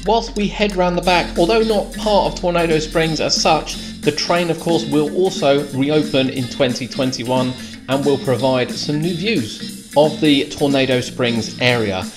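A man's voice: a little high, a little slow. A British man giving a descriptive tour commentary as a theme park vlogger. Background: guitar music.

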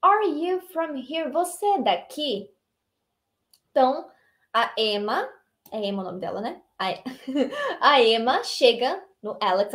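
Young woman, Brazilian accent, high pitch, lively, encouraging, English teacher, webcam, small room. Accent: Brazilian Portuguese. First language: Portuguese. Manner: lively, encouraging, reads English lines slowly. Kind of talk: online English lesson.

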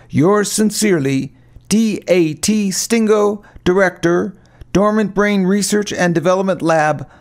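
A man's voice: high-pitched, voice pushed up. A man voicing an eccentric backwoods mountain scientist with a homespun, earnest twang, in an audiobook. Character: folksy backwoods mountain scientist, eccentric male lab director. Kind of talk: audiobook character reading.

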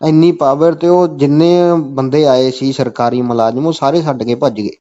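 A man's voice: medium pitch, steady tone, moderate pace, dark tone. A man with a Punjabi accent making a comic phone call, talking insistently and falling back on the same stock phrases. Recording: dull, lo-fi phone call recording.

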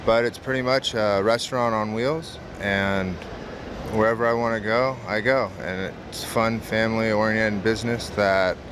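A man's voice: lowish voice, a little slow. A man in his thirties, a pizza business owner, answering interview questions casually with many "um" fillers, recorded close up at a trade show. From San Diego, California. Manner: relaxed, frequent "um" fillers, earnest. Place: large indoor trade show hall. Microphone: camera close to face. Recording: noisy expo hall background.

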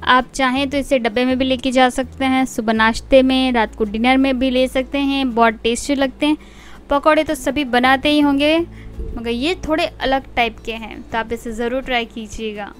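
High-voiced woman calmly explaining a recipe. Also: moderate pace. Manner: calm, explanatory, addresses viewers directly. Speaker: cooking channel host. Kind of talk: step-by-step recipe voice-over. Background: soft background music.

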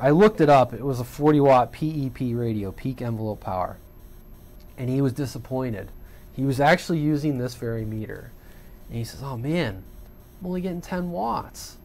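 A man's voice: medium pitch, a little mellow, slight background noise. A middle-aged man giving a casual, off-the-cuff hands-on tutorial at his home radio workbench, folksy and rambling. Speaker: CB radio channel host. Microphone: desk mic off camera.

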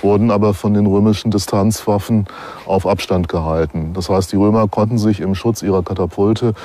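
A man's voice: lowish voice, fairly even intonation, fairly quick, warm tone. A man explaining things as an expert in a documentary interview. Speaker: archaeology expert.

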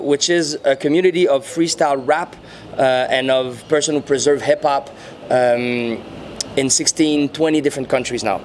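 Québécois man, unhurried interview answers. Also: a little high, darkish tone, slight background noise. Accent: Quebec French. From French-speaking Canada. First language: French. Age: 30s. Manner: unhurried, searching for words, frequent fillers. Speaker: interviewee, muralist and rapper. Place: indoor venue before a painted backdrop. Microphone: handheld mics held near the chin.

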